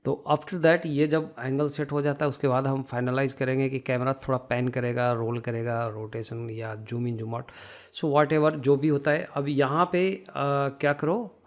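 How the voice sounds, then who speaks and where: A man with an Indian accent giving a casual software lesson, hesitant and thinking aloud as he works. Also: mid-range voice, steady tone, unhurried. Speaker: tutorial instructor.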